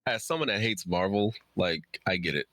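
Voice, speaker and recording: A man's voice: low-pitched, animated, moderate pace, a bit bright, dry recording. A man in a casual interview chat, answering as a guest in an informal, agreeable way.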